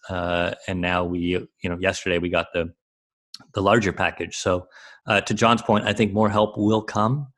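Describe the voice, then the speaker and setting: A man with a low-pitched voice speaks off the cuff as an expert on a panel. His delivery is reflective and halting, as he works through his advice while he talks. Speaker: expert panelist on a conference call. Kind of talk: unscripted advisory commentary.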